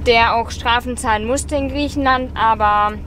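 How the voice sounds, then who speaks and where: High-voiced young woman vlogging outdoors. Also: normal speed, noisy background. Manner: chatty, with frequent ums. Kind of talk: casual travel vlog chat. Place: outdoors by a beach, later inside a van. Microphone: camera at arm's length.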